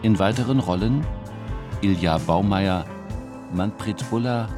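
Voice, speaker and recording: A man's voice: on the low side, takes it slowly. A man reading scripted credits as the announcer of a radio play. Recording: music behind the voice.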